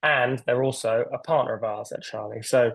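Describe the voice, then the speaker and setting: A man hosts a webinar over a video call, chatting casually and enthusiastically with plenty of fillers and restarts. His voice sits at a middle pitch and sounds dry and close. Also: normal speed, gravelly, fairly bright tone, no echo. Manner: rambling, filler-heavy, enthusiastic. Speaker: webinar host.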